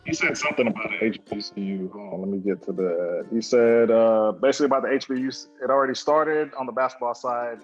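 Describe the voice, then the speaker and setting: A man co-hosting a casual panel chat, reading out viewer names with fillers and pauses. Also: mid-range voice, dull audio, soft background music. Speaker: co-host.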